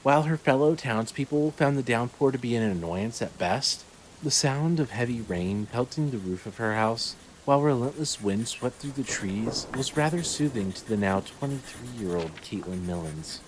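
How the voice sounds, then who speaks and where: A man reading scripted dramatic fiction as narrator, in a measured, descriptive storytelling manner. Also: mid-range voice, lively intonation, background noise.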